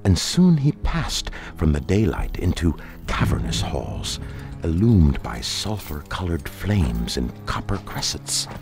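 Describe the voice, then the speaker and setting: Male narrator, dark fantasy audiobook: bottomless pitch, expressive melody, solemn measured delivery. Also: vocal fry, music in the background. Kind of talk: scripted dramatic narration of dark fantasy fiction.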